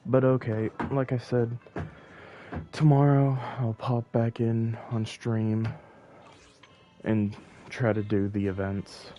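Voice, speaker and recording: A man's voice: mid-range voice, flat delivery, slow pace, pauses often, mellow. A man chatting casually on a game livestream, rambling and thinking aloud, with game music behind him. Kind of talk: casual livestream chat while playing.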